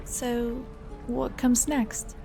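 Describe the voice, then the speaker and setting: A woman voicing a mild-mannered young elf bard, speaking softly and slowly in a higher voice. Game music sits behind her re-recorded lines. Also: flat delivery, creaky, full character voice, poor audio.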